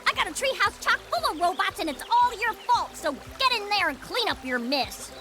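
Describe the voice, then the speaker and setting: Give this to a bossy young cartoon squirrel with a folksy Southern US accent. Her voice is extremely high and very lively, over loud game music. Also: normal speed. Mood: scolding. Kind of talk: cartoon video game voice acting. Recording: loud music and water behind voice.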